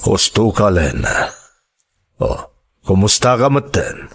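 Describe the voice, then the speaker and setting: A man's voice: lowish voice, very animated, takes it slowly, gravelly, breathy edge, full character voice. A man with an Ilocano accent acting in a radio drama as a persuasive rebel leader who poses as a protector and hides a predatory side. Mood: coaxing, protective.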